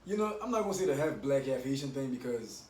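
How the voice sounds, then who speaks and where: A man's voice: mid-range voice, big pitch swings, fast talker, darkish tone. A man in his early twenties, from Miami, talking earnestly and reflectively in a casual podcast chat. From Miami, United States. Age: 20s. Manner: earnest, reflective, opens up at length. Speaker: podcast guest. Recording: echoey room, mic at a distance, faint hum.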